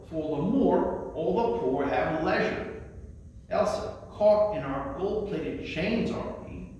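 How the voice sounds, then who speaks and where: A man's voice: on the high side, a little mellow. A man giving a spoken literary presentation as a librarian, with a theatrical, declamatory delivery. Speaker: librarian presenting a poetry reading. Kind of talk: spoken presentation and recitation of poems. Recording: very echoey room, distant mic, faint noise.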